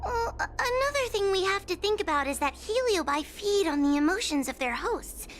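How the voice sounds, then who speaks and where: A woman voicing a timid, earnest little girl in a video game, polite, careful and gently hesitant. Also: very high voice, very animated, normal speed, slight background noise, exaggerated voice. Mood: hesitant, concerned.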